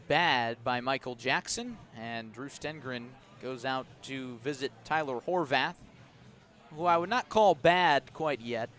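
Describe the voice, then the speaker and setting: A man doing live baseball radio play-by-play, with a measured delivery that lifts on action calls and descriptive asides between pitches. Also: fairly bright tone. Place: outdoor ballpark broadcast position above the stands.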